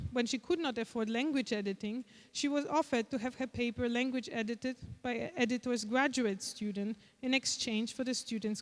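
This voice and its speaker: A woman with a Polish accent, a conference speaker, reading a prepared lecture in a measured, deliberate way. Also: a little high, in a large hall. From Poland. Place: large conference hall.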